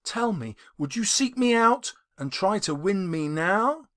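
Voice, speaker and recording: A man voicing a gentle, sorrowful but resolute young woman in an audiobook of a classic novel. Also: mid-range voice, animated, measured pace, pitched above the performer's own voice. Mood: sorrowful, firm.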